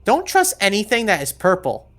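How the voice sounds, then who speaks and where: A woman's voice: medium pitch, huge pitch swings, normal speed, a bit bright, soft background music. A woman narrating an instructional video game walkthrough in a step-by-step, directive way, as a guide for players. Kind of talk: instructional gameplay walkthrough voice-over.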